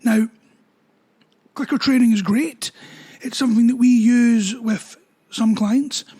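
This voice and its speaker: A man, a dog trainer explaining training ideas in a relaxed talk with everyday examples. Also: very high-pitched, slow. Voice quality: husky voice.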